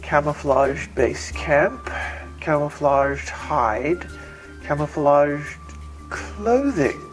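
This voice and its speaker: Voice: mid-range voice, expressive. A narrator voicing a pompous male bird-like alien mayor full of bureaucratic contempt, in an audiobook story. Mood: grudging contempt. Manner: pompous, clipped contempt, drawn-out emphasis. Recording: music bed behind.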